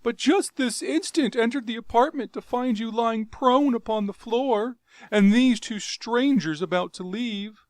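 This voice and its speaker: A man voicing a cringing, terrified male courtier who whimpers and pleads in a shrill voice. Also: slow, gravelly, dry recording, heavily acted. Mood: terrified pleading.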